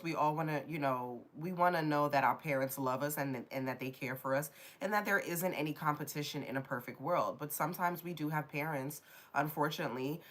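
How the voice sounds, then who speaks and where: A woman's voice: deep voice, a bit bright. A woman with a stuffy, nasal voice from a cold, chatting casually as she hosts a live tarot stream. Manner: chatty, rambling, addresses viewers directly. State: getting over a cold.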